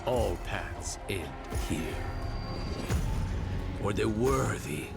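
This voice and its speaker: An actor playing a proud, fearless spirit warrior, narrating in a solemn, declamatory style for an epic game cutscene. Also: male voice, on the low side, extremely slow, vocal fry, loud music behind the voice, heavily acted. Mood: solemn, reverent.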